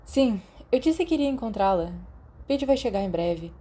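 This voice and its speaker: A woman's voice: on the high side, very animated, normal speed, mellow, slightly rough, voice pushed up. A woman with a Brazilian accent voicing an anxious, friendly teenage boy who casually seeks reassurance in an audiobook. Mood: hesitant. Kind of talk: audiobook character dialogue.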